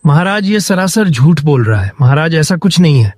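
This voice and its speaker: A man's voice: a little high, sing-song, moderate pace, a little mellow, dry recording, clean studio sound, faint music behind. A man voicing a poor, honest village farmer in a cartoon story, plaintive, earnest and indignant. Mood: desperate pleading. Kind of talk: Hindi cartoon moral-story voice acting.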